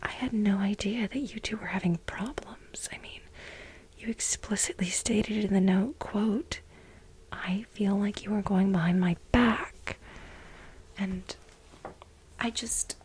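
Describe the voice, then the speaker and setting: A woman playing a clingy, unhinged millennial office assistant in a breathy, halting, soft-spoken ASMR role play. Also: mid-range voice, a little hiss or hum, lightly acted, low-quality recording. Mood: sheepish, reproachful. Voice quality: breathy, whispery, creaky. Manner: halting, dramatic, self-justifying.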